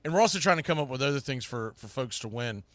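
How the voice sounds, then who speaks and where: A man's voice: mid-range voice, animated, fast, fairly bright tone, no room sound, studio quality. A middle-aged man hosting a sports talk show, chatting casually and informally in a rambling, mildly salty way. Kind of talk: casual, rambling live podcast chat.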